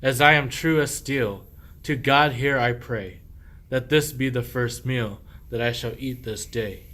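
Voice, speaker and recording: A man playing a sly husband feigning innocence and hospitality, speaking measured archaic rhymed verse in a radio play. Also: mid-range voice, bright, forceful tone. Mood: pleading innocence.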